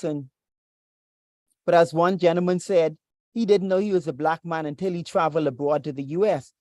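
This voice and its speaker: A preacher speaks in a measured, deliberate way, reading and teaching over a video call. His voice sits at a middle pitch, and the sound is dry and close. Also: darkish tone, dry recording. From Bahamas. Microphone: video call with screen share.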